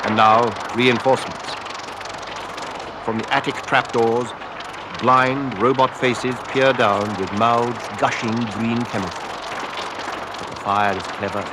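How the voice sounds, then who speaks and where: A man's voice: mid-range voice, fairly even intonation, a little slow. A man giving an intense, theatrical narration of a story, as in a scripted radio drama. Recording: noisy 1970s radio drama with effects. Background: loud sound effects.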